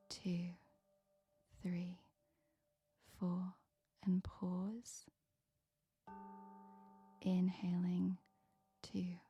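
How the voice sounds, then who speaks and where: Woman whispering soft meditation, gravelly breathy tone, flat and calm. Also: on the low side. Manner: soft unvoiced whisper, slow and soothing. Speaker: meditation guide.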